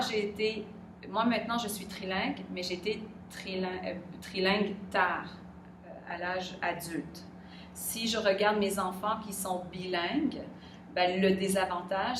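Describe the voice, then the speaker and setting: A middle-aged Québécoise woman with a Québécois French accent, answering interview questions thoughtfully and pausing often to search for her words. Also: mid-range voice. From Quebec. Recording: echoey room, distant mic, background noise.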